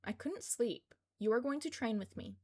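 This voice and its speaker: Woman acting out a high-voiced, stubborn, tired boy. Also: animated, normal speed, a little mellow, husky. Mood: stubborn, whining. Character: tired, stubborn teenage boy, politely formal yet pleading. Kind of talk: fan fiction audiobook character dialogue.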